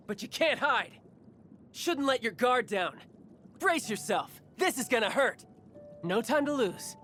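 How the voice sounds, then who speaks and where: A man's voice: high voice, a bit bright, exaggerated voice. Game role: battle-hungry young male fighter, boastful, breezy, loyal and a bit theatrical, over a music bed. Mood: battle-ready, taunting. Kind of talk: video game character voice lines. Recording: music behind the voice.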